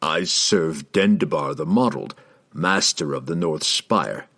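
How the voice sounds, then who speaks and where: Fantasy storybook soldier, acted by a man: low, slow and firm, proudly standing his ground. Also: expressive, husky, no echo, clean studio sound, exaggerated voice. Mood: defiant.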